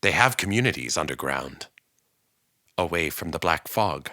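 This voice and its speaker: A man playing a weary but resolute invasion survivor, speaking haltingly in a radio drama monologue. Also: very deep voice, animated, sharp tone, heavily acted. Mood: reflective. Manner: halting, pausing, deliberate.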